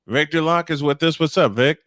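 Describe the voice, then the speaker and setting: A man working as host and play-by-play commentator, calling baseball and bantering casually between pitches. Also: a little fast, a bit bright, no echo, clean studio sound.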